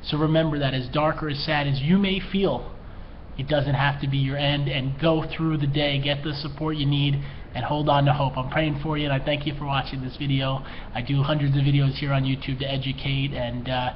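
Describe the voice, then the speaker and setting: A man with a fairly even, mid-pitched voice talks earnestly and from the heart, sounding emotional and a little tearful. He speaks straight to the viewer as an educator offering support. The recording is muffled and lo-fi, with faint noise behind him. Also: fairly quick. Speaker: mental health educator, therapist and coach. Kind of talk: earnest personal talk to camera.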